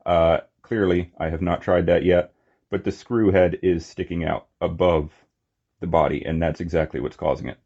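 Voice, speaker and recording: A man's voice: low voice, fairly even intonation, normal speed, darkish tone, husky, lo-fi sound. A man giving a casual, unscripted hands-on demonstration as a hobby reviewer, with informal muttered asides. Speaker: toy collector and reviewer.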